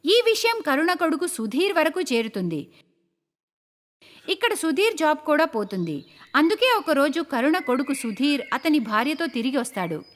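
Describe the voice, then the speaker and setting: A woman's voice: sits high, very animated, normal speed. A woman narrating a scripted moral story for a cartoon. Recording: clear background noise.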